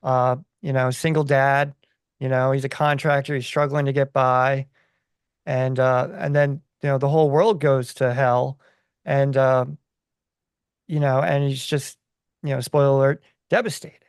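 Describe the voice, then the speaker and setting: Gravelly man chatting on a podcast. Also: medium pitch, level tone, a little slow, no room sound, clean studio sound. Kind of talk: casual opinion chat.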